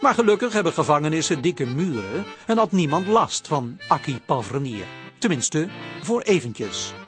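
An actor playing the wry narrator of a radio comedy serial, telling the story from a script. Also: male voice, a little high, big pitch swings, moderate pace, a bit bright, soft background music.

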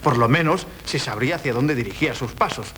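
A man's voice: medium pitch, lively intonation, quick pace, a bit bright, natural voice. Man acting flustered farce husband, fussy, stammering, Spain accent, 1970s radio theatre, faint noise. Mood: exasperated. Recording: noisy 1970s radio broadcast.

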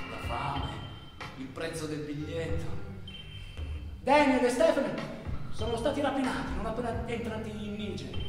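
An actor playing an intense traveller who recounts stranded migrants' hardships in a halting, repetitive theatrical monologue. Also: male voice, sits high. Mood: weary, desperate, then indignant. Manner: halting, repetitive delivery, swinging between shouts and murmurs. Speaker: stage actor. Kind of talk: dramatic theatrical monologue in Italian. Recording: very echoey hall, distant microphone.

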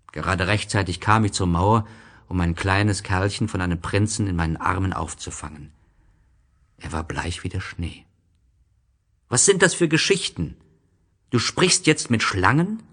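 Male narrator, low pitch, scripted literary storytelling, expressive, dramatic.

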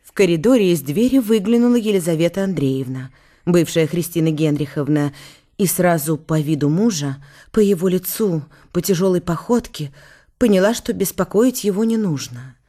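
A woman's voice: lowish voice, expressive, moderate pace, warm tone. A woman giving a scripted literary narration in a radio drama.

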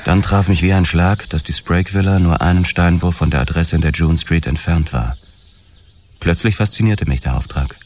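An actor as a very deep, brooding noir investigator. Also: male voice, normal speed, a little mellow, slight background noise, lightly acted. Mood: haunted. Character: world-weary, haunted male police investigator narrating his past. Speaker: narrator. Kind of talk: first-person noir radio drama narration.

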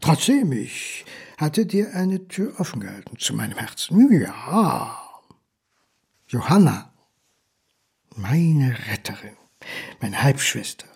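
Raspy, halting, wounded lonely son. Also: male voice, medium pitch, big pitch swings, darkish tone, full character voice. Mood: wounded, wistful. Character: lonely, wounded illegitimate son addressing his absent father. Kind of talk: German audio drama monologue.

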